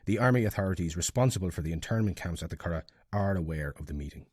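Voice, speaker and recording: Male reader for archival reports; deep, rough-edged voice, formal delivery. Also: lively intonation, fast, darkish tone, no echo, studio quality. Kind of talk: formal reading of intelligence reports.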